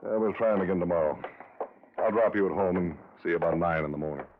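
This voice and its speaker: A man's voice: sits low, fast talker, close to an everyday voice. A man playing a weary, no-nonsense police captain in a 1950s radio crime drama, blunt, dry and informal in his talk. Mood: tired, casual. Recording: muffled, noisy vintage radio recording.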